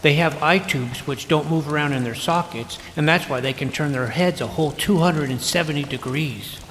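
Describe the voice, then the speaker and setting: A man's voice: fairly high pitch, normal speed, fairly bright tone, a little hiss or hum, lightly acted. A man playing a naive, self-doubting, geeky boy who narrates his fantasy adventure in a wry, youthful, earnest way. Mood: eager. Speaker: narrator and lead character. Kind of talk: first-person scripted fantasy narration and dialogue. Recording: music behind the voice.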